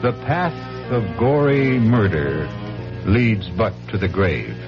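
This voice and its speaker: A man hosting a 1970s radio mystery drama in a deliberate, macabre manner. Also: lowish voice, very animated, music in the background. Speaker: radio mystery show host. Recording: muffled old radio recording.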